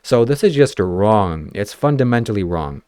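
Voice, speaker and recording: A man's voice: fairly low pitch, lively intonation, moderate pace, a little mellow, dry recording, studio quality. A young man from Ukraine chatting casually on a podcast, given to sarcastic jokes and asides. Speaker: podcast host.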